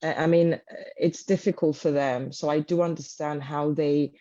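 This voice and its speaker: A woman from Cyprus, a guest teacher, talking in an interview with many pauses and fillers. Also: low voice, fairly even intonation, mellow, dry recording, dull audio. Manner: thoughtful, many ums and uhs. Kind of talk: interview conversation.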